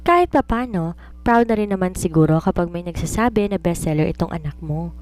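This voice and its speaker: A woman's voice: on the low side, lively intonation, a little fast, a little mellow, close to an everyday voice. Woman voicing an assured, chatty young writer daughter. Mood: coaxing, reasoning. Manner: chatty, mixes in English phrases.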